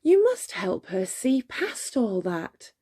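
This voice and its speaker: A woman's voice: mid-range voice, huge pitch swings, slow pace, mellow, breathy edge, heavily acted. Woman putting on a female teapot housekeeper, storybook, motherly, soothing, kindly, reassuring. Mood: encouraging. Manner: soothing, advising.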